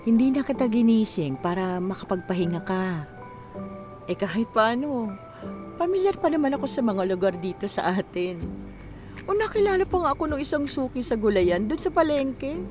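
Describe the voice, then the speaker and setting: A woman's voice: fairly high pitch, big pitch swings, normal speed, music in the background. A woman playing a loving, weary middle-aged mother in a Filipino radio drama, with a tender, coaxing, sighing manner.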